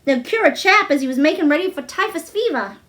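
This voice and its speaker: A woman's voice: high voice, lively intonation, fast talker, a bit bright, voice pushed up. A woman voicing a cheerful young Yorkshire country boy with eager, folksy dialect speech in a storybook reading. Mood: amused.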